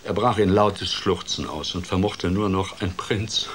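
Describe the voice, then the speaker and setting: A man's voice: sits low, fairly even intonation, normal speed, a little hiss or hum. A man narrating a children's story as a dramatic storyteller.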